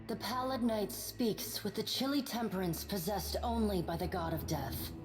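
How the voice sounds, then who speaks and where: A woman's voice: medium pitch, animated, moderate pace, low-quality recording. A woman reading scripted fantasy narration as the narrator of a game. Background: music.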